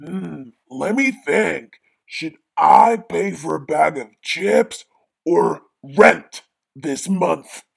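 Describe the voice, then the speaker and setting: A woman's voice: low-pitched, drawn-out words, creaky, slightly rough, exaggerated voice. A woman voicing a blunt, sarcastic mother pig with snippy remarks in an amateur cartoon.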